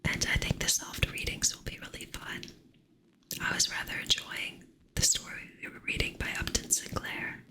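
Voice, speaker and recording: A woman whispering softly in a playful way as she chats with her live-stream audience. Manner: soft whisper, playful with chat; slow, drawn-out delivery. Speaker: ASMR streamer.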